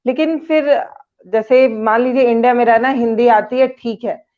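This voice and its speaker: A woman's voice: a little high, fairly even intonation, moderate pace, highs cut off. A woman interviewing a guest, asking probing questions and backing her up with frequent hums. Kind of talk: casual interview conversation.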